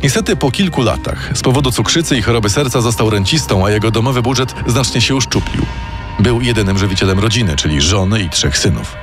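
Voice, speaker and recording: A man reading a scripted true-crime story in a measured, dramatic storytelling manner. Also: fairly low pitch, expressive. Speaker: narrator. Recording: music playing underneath.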